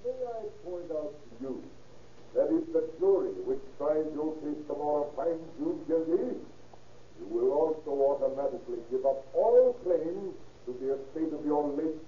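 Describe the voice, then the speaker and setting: An actor playing a stern, deliberate judge with formal, measured authority, in 1930s radio style. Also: male voice, a little high, expressive, lightly acted. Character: stern, deliberate male judge delivering a reckoning. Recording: muffled, echoey, distant, noisy old radio recording.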